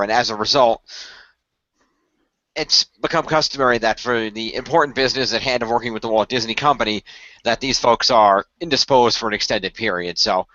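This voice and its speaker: Man acting as formal hero-team mentor, calm, careful hesitant phrasing, bright voice, dry lo-fi radio play. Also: mid-range voice, no echo, close to an everyday voice. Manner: measured, hesitant, diplomatic phrasing. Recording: dry, dull lo-fi voice track.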